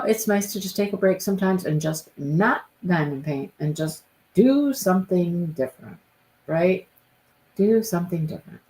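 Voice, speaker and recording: A woman's voice: medium pitch, darkish tone, rough recording. A woman hosting a live stream, chatting casually with viewers by name and repeating her phrases, her voice stuffy and congested. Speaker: livestream host.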